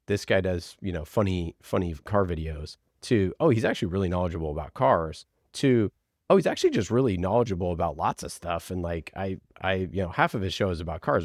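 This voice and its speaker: A man being interviewed, explaining ideas in an informal, halting way as he thinks aloud through examples. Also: deep voice, no echo, clean studio sound. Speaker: interview guest, podcast producer. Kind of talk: conversational explaining.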